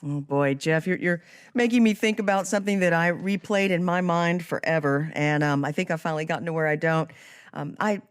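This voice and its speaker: A woman's voice: on the low side, a little fast, clean tone. A woman hosting a podcast, presenting and asking questions in a scripted interview style. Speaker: podcast host.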